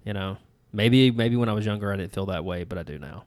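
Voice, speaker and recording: A man's voice: lowish voice, fast talker, darkish tone, no echo. A man, co-hosting a podcast in a folksy, rambling, self-deprecating way. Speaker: podcast co-host. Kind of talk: casual chat.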